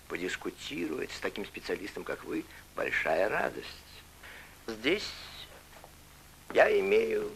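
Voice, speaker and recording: An actor playing a courteous, scheming German officer who talks politely and smoothly in a film. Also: male voice, medium pitch, a bit bright, a little hiss or hum, exaggerated voice, poor audio. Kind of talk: film acting.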